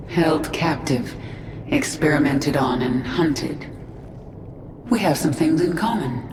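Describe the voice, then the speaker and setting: An actress playing a steely, vengeful queen of an alien swarm in a science fiction video game, commanding and resolute. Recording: echoing, distant, clearly noisy rumble behind.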